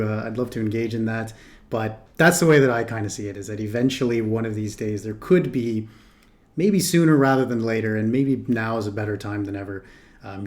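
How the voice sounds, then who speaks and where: Canadian man musing through a reaction. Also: fairly low pitch. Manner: thoughtful, hesitant, rambling. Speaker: video reactor. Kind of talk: casual reaction commentary.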